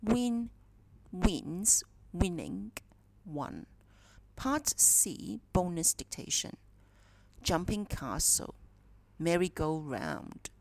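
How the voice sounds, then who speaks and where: A woman reading a dictation exercise as a teacher, in a deliberate, enunciated way with pauses between phrases. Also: lowish voice, lively intonation, vocal fry, a little mellow, no echo. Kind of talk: slow scripted dictation read-aloud.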